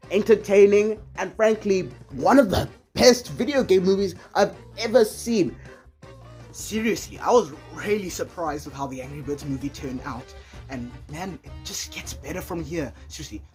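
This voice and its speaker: A video essayist with a slightly husky voice, delivering scripted movie commentary in a conversational way with casually wry asides. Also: mid-range voice, a little mellow.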